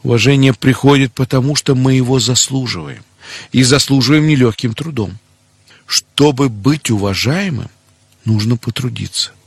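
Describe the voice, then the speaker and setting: Man, middle-aged, husky voice, medium pitch, didactic sermon delivery, rhetorical questions, dry close sound. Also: normal speed, no room sound. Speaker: preacher. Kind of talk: sermon on family life, scripted teaching.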